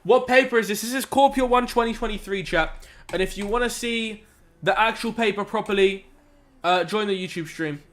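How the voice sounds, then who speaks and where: High-voiced young man streaming maths. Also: normal speed, fairly bright tone. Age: young adult. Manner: laid-back, chatty slang, reads chat aloud and mutters while working. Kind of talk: casual live-stream chat while solving maths.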